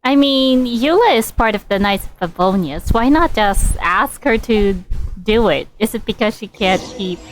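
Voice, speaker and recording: A woman game streamer giving casual live commentary, halting and reacting aloud as she goes. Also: fairly high pitch, animated, soft background music, low-quality recording.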